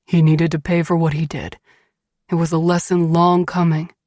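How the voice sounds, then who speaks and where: A woman's voice: fairly low pitch, level tone, normal speed, dark tone, dry recording, studio quality, close to an everyday voice. A woman voicing a brooding, protective, dangerous husband who speaks in a blunt, commanding way in an audiobook. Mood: intense.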